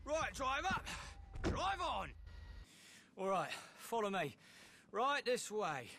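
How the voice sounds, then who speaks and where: A cocky British promoter in a game cutscene. The voice is high and lively, with unhurried, drawn-out phrasing. He is matey and mocking throughout. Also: vocal fry, exaggerated voice. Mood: jubilant, teasing. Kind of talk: video game cutscene acting.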